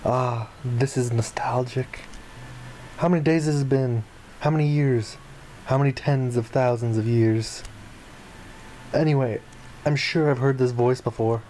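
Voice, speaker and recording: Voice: mid-range voice, fairly even intonation, measured pace, long pauses, dark tone, slight background noise. A let's player reading a visual novel aloud expressively and slipping in casual asides.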